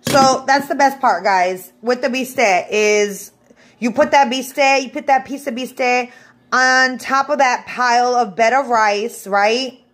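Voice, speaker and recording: A woman's voice: a little high, a little slow, fairly bright tone. A woman from the Bronx giving a casual, unscripted home cooking demonstration, chatting informally to viewers in her kitchen. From the Bronx, New York, USA. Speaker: home cook vlogger. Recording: echoey room, clear background noise.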